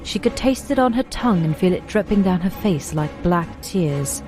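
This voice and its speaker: A woman's voice: on the low side, animated, moderate pace, natural voice. A woman acting as a grave storyteller, narrating an audio drama in a scripted dramatic style. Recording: music under the voice.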